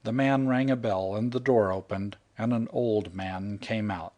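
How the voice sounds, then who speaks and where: A man narrating a humorous short story in the first person, wry and even. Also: lowish voice, a little slow, muffled recording. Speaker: audiobook narrator. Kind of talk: scripted narration.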